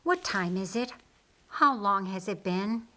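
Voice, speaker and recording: A woman's voice: medium pitch, lively intonation, measured pace, sharp tone. Woman reading as an anxious, insistent sister. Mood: hushed, anxious. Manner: earnest, probing questions. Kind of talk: audiobook character dialogue.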